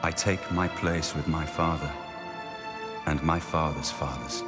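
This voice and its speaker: An actor playing a noble, resigned emperor in a fantasy game, giving a grave and measured farewell narration. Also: male voice, very deep voice, steady tone. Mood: solemn, resolute. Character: noble emperor, solemn, resigned, speaking a farewell after sacrifice. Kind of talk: slow dramatic game narration. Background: orchestral music.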